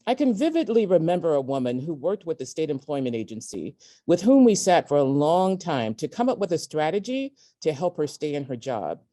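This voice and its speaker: A woman reading memoir excerpts aloud in a measured, emphatic way, with deliberate pauses. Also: deep voice, very animated, mellow. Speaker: guest reader at a virtual event. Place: indoors at a community center, on an online event.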